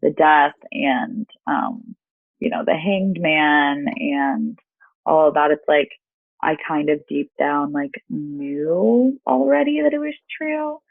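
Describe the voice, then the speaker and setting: A woman's voice: low-pitched, big pitch swings, slow pace, vocal fry, dry recording, narrow, tinny audio. A young woman, a guest at a tarot reading, chatting casually in an informal, reflective and self-deprecating way over thin call audio. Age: young adult. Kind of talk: casual chat.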